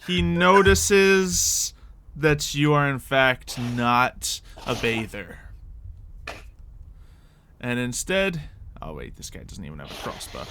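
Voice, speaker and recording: A man acts as game master for a tabletop roleplay session, talking casually and improvising as he goes. His voice is bright and forceful, and his pitch moves around a great deal. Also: sing-song, slow, a little hiss or hum.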